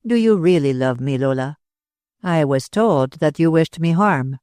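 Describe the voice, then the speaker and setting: A woman voicing a calm, curt young Englishman in a read-aloud story. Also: low-pitched, a little slow, dry recording, clean studio sound. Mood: cool. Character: cool-headed young Englishman.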